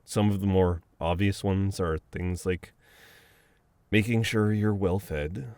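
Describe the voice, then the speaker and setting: Man acting as male best friend, low voice, slow pace, flustered, teasing, protective, halting banter, intimate audio roleplay, dry sound. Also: fairly even intonation, a little mellow, no echo. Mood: playful, explaining.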